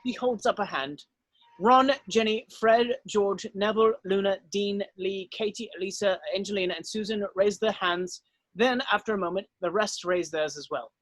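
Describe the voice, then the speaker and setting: A woman's voice: mid-range voice, normal speed, dry recording. Woman narrator reading stage directions, dry sound.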